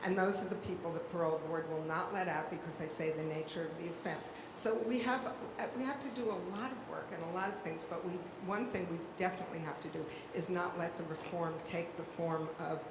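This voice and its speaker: A woman's voice: on the low side, steady tone, brisk, few pauses, noisy background. Impassioned older woman in an echoey hall. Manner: passionate, emphatic, argumentative. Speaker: panelist. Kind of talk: public panel talk. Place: large hall at a public event. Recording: echoey hall, live audience ambience.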